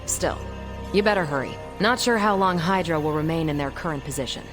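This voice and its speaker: A woman's voice: low voice, a little fast, music in the background, natural voice. A woman playing a confident superhero captain in a video game, with a breezy, wry, colloquial manner. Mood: wry, brisk. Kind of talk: video game cutscene acting.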